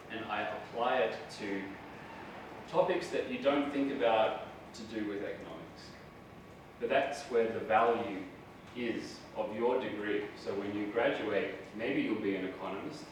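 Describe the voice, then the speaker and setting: A man's voice: lowish voice, normal speed. Australian man lecturing in an echoey hall. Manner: chatty, self-deprecating, asks the audience questions. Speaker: guest lecturer. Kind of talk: university lecture. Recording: echoey hall, voice from far off, noisy.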